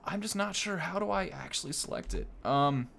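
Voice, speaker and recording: A man's voice: fairly high pitch, animated, moderate pace, gravelly, a bit bright, a little hiss or hum. A young man hosting a gaming video with casual, informal commentary, often thinking aloud as he plays.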